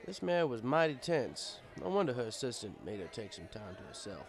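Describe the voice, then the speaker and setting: A man's voice: medium pitch, big pitch swings, a little fast. A man reading a first-person story as scripted narration, in a wry, conversational manner. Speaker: story reader.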